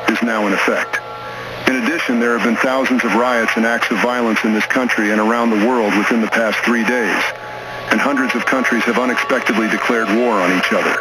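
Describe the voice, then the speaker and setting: A man reading a scripted emergency broadcast announcement in a formal, measured manner. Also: medium pitch, low-quality recording.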